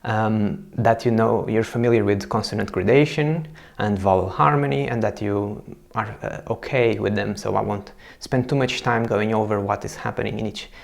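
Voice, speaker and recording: A young man talks to the camera from a small room, explaining how he studies a language. His voice sits low and has a gravelly, creaky edge. He hesitates often, stacking fillers and restarting phrases. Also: fairly even intonation, normal speed. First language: Portuguese. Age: young adult. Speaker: language learner and video host.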